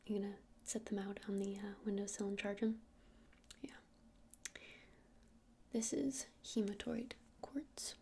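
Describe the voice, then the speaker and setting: A woman playing a gentle witch shopkeeper in an ASMR roleplay, speaking in a breathy whisper and explaining things in an unhurried, hesitant, soothing way. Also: medium pitch, fairly even intonation, full character voice. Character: gentle witch shopkeeper showing crystals to a customer.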